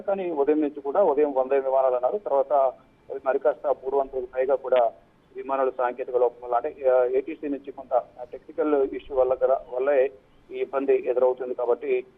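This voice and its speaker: A man giving a live news report by phone, in a halting, hesitant way. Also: mid-range voice. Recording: muffled narrow phone line.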